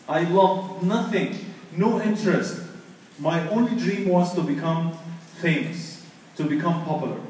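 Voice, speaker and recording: A man tells his story as a preacher, leaning on repeated phrases for emphasis. His fairly high voice carries through a very echoey hall, caught from some distance with faint noise behind. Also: a little slow, a little mellow. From Egypt. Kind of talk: personal testimony talk to an audience. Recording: echoey hall, picked up from far off.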